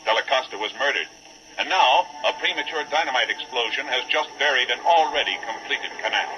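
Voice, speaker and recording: A man's voice: medium pitch, expressive, brisk. A man with an American accent reading scripted announcements and drama narration in a formal, declamatory old-time radio style. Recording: muffled old radio recording, clear hiss.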